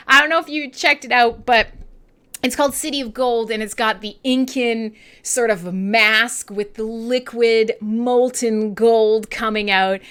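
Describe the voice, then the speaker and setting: A woman's voice: on the high side, pushed and bright. A woman with a Western Canadian accent hosting an online radio show, chatting casually and irreverently with listeners, with plenty of swearing.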